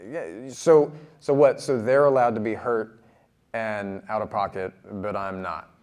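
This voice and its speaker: A man facilitating a group discussion who muses haltingly, with many restarts and fillers. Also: on the low side, steady tone, darkish tone, clean tone. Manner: halting, full of restarts when musing.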